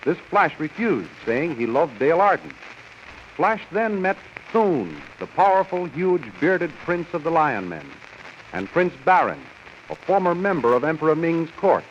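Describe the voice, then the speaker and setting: A dull, slightly hissy vintage radio recording carries an American man announcing an adventure serial. His voice sits a little high and he declaims each phrase formally and dramatically, in the grand manner of 1930s radio. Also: big pitch swings, measured pace.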